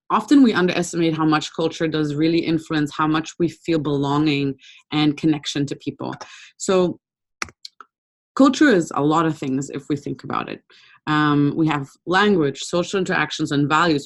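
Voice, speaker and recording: Young woman giving a relaxed webinar talk. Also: low-pitched. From Brazil. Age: young adult. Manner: relaxed, conversational, with frequent ums. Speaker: webinar presenter. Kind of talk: informal talk with slides.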